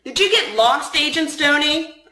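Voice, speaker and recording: A woman voicing a teasing, calm male FBI agent in a story reading. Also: high voice, unhurried, voice pushed up, exaggerated voice. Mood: mischievous. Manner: playful, mischievous. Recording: roomy, echoing room sound.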